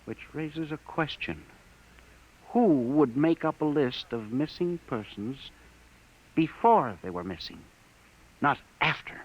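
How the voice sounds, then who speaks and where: A man's voice: fairly high pitch, animated, slow pace, pauses often. An actor in a 1950s radio drama playing an earnest male investigator for a missing persons bureau who probes urgently and stammers when pressed. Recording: dull old radio broadcast.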